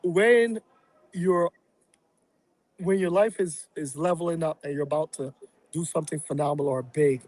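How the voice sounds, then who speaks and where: A man from Barbados, a guest on a podcast, talking through his plans in a reflective, halting way with many fillers. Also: on the high side, darkish tone. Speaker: real estate investor and guest. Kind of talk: casual podcast interview.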